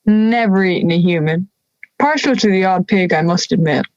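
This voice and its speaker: A woman's voice: on the low side, moderate pace, darkish tone, no echo, muffled recording, faint music behind, lightly acted. A young woman playing a friendly male wolf with a dry, sardonic manner in an audio drama. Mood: wry, defensive. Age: young adult. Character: friendly male big bad wolf, wry and gentle.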